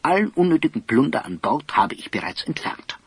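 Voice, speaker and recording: An actor playing an eccentric, kindly old scholar and inventor in an audio drama, theatrical and pompous, with hems and chuckles. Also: male voice, medium pitch, very animated, fairly quick, husky, no echo. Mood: proudly excited. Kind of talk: German audio drama acting.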